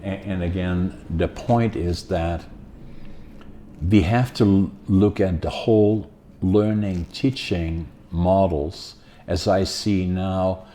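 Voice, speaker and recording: Older Dutch-accented man, deep dark voice. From Netherlands. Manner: rambling, many fillers and restarts. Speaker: podcast host. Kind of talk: casual interview talk.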